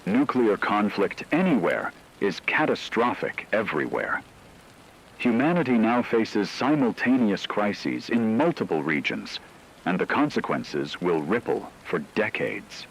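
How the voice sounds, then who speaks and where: A man playing a solemn radio news anchor, reading scripted dramatic war reports in a measured, portentous way. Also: on the low side, sing-song, rough recording. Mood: grave, solemn. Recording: faint rain and radio noise.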